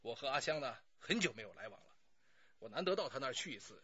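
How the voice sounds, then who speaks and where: A man's voice: mid-range voice, very animated, fast talker, fairly bright tone, breathy edge, dry recording, muffled recording. An actor playing a talkative, self-justifying middle-aged man under police questioning in a radio drama, pleading and sighing as he explains. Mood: frank, defensive.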